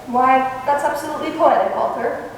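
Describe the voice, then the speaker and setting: A woman playing a wry, quick-witted schoolteacher with dry quips in a radio comedy. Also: high voice, fairly even intonation, lightly acted. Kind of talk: 1940s-style radio comedy acting. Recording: echoey hall from far off, faint noise.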